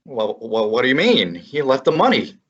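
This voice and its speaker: A young man voicing a wisecracking male private eye who narrates a radio play, with a brisk, wry manner. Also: medium pitch, very animated, a bit bright, breathy edge. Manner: brisk, wry, hard-boiled. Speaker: high school drama student. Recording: muffled lo-fi recording.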